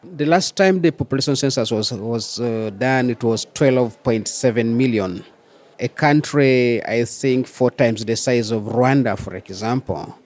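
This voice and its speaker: A man's voice: mid-range voice, measured pace, darkish tone. A man from South Sudan with a South Sudanese accent, explaining things as an interview guest. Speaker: interview guest, microfinance chief executive.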